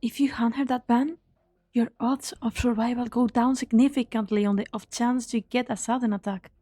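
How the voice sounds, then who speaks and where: Woman playing cool captive doctor, fairly high voice, dark soft tone, precise, dry recording, game drama. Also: fairly even intonation, moderate pace, no room sound, close to an everyday voice. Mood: wary, reproachful. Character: cool, guarded female doctor held hostage. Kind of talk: amateur fan-dub game drama acting.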